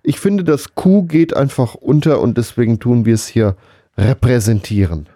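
A man's voice: medium pitch, expressive, normal speed, warm tone, dry recording, studio quality. A man co-hosting a casual, humorous podcast, musing in a hesitant, rambling, mock-serious way. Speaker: podcast co-host.

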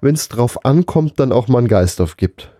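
A man's voice: medium pitch, lively intonation, normal speed, dark tone, no room sound, clean studio sound. A man co-hosting a podcast, talking in a casual, conversational way. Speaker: podcast co-host. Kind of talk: casual chat.

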